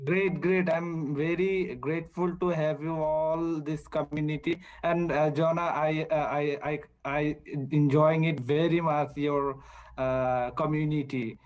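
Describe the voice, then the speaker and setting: A man from Bangladesh joining a workshop over a video call, speaking haltingly and searching for words. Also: on the high side, steady tone, a little mellow. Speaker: workshop participant.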